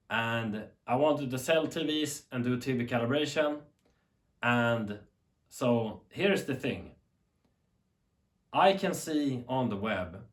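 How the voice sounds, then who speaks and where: A young man from Sweden with a Swedish accent, talking unscripted to camera in a rambling, earnest way that often corrects itself. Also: mid-range voice. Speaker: tech YouTuber. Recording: echoey room.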